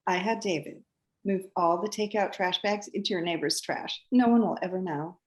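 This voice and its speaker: A woman's voice: lowish voice, normal speed, natural voice. A woman playing a gushing, meddling pregnant best friend with a teasing, theatrical manner in a screenplay table read. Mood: sly. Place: remote video call.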